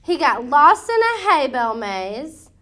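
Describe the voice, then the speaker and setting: A woman narrating a children's storybook as an expressive read-aloud, with dramatic, deliberate emphasis. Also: high-pitched.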